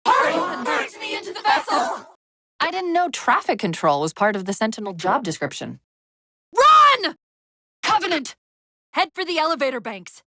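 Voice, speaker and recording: Bright, animated female AI companion. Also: sits high, moderate pace, dry recording, full character voice. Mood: urgent, alarmed, then wry, dry. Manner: crisp tactical commands with dry wit. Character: female military AI companion, urgent, brisk, sardonic. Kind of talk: video game voice acting.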